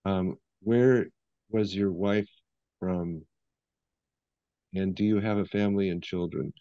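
Deep-voiced man reading questions, dull sound. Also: steady tone, takes it slowly, warm tone, clear, resonant tone, no room sound. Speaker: interviewer reading audience questions.

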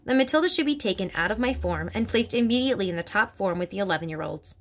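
A woman reading aloud voices a gentle, earnest young schoolteacher who pleads politely. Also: mid-range voice, animated, quick pace. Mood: pleading.

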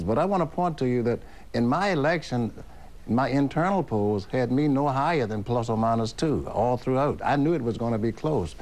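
An older man speaking as a guest in a TV studio, measured and emphatic, answering his own rhetorical questions. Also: medium pitch, darkish tone. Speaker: panel guest, former governor. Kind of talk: TV panel commentary. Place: TV studio at a table.

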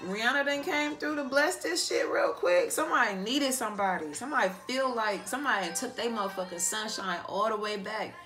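A woman giving a casual, improvised card reading to an online audience, talking in an animated, slangy way and swearing freely. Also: a little high, fairly quick, hardly any pauses. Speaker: tarot card reader, online host. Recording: music playing behind the voice. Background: pop and R&B songs playing.

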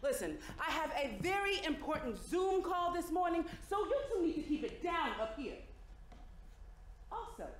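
A woman's voice: very high voice, big pitch swings, normal speed. A woman on stage playing a bossy, stern hotel manager with a commanding, emphatic delivery in a comedy. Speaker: stage actress. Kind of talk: comedic stage play acting. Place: theater stage, indoors. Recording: echoey theater, faint noise.

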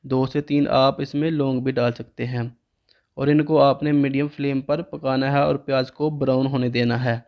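A man's voice: medium pitch, moderate pace, lo-fi sound. A man, narrating a home cooking recipe step by step as the channel host. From Pakistan. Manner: steady step-by-step instructional tone.

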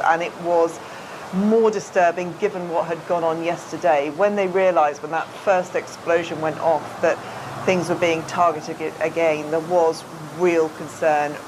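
A middle-aged woman reporting live as a TV news correspondent, with clear ambient noise behind her. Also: lowish voice. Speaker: international news editor. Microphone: lapel.

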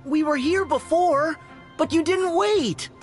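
High-voiced eager teenage boy. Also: slow, soft background music, exaggerated voice. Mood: upbeat. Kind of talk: video game cutscene acting.

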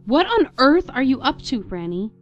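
A woman's voice: sits high, very animated, normal speed, faint music behind. An actress playing a concerned, bewildered young mother in a radio play. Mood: incredulous. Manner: incredulous, concerned. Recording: slightly dull, lo-fi sound.

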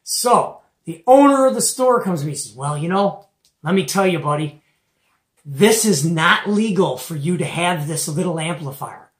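A middle-aged American man with a Midwestern accent speaks in an echoey room. He tells a story in a casual, conversational way, animated and slangy, often checking in with rhetorical questions. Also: a little high, normal speed. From Detroit area, United States. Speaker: host of a CB radio show-and-tell. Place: small cluttered indoor radio room. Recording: echoey room, mic at a distance.

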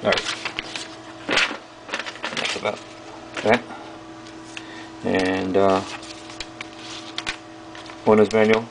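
A man with a very low, gravelly voice hosts a casual hands-on review. His delivery is flat and halting, broken into short pieces. There is faint noise behind him. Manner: halting, piecemeal delivery while handling items. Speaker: video host reviewing old electronics. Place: small indoor workroom at a bench.

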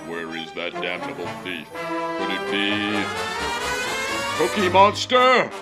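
A man's voice: low-pitched, lively intonation, slow pace. A man voicing a melodramatic, portentous superhero puppet in a comedy puppet show. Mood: ominous. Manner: theatrical, portentous. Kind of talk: comic puppet-show dialogue. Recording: loud music behind.

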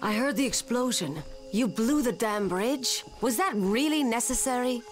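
A woman's voice: a little high, expressive, normal speed, faint music behind, natural voice. An actress voicing a questioning female survivor in a zombie video game. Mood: startled.

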